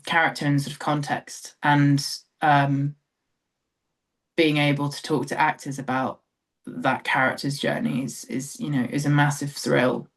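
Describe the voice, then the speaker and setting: Thoughtful British woman in conversation. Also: a bass voice. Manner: thoughtful, hesitant, trailing phrases with frequent hedging. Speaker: theatre director, interview guest. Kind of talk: reflective interview conversation.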